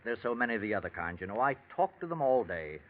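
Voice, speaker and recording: An actor playing a scheming antique dealer in 1940s radio drama, with flattering, hesitant sales patter. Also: male voice, fairly low pitch, fast. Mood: ingratiating. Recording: muffled vintage radio recording.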